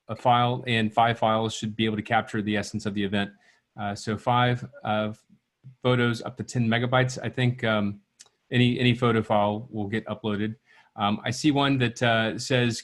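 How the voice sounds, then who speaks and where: A man moderating questions on a video call, talking off the cuff in a hesitant, halting way. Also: medium pitch. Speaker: meeting moderator relaying questions. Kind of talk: unscripted question-and-answer talk.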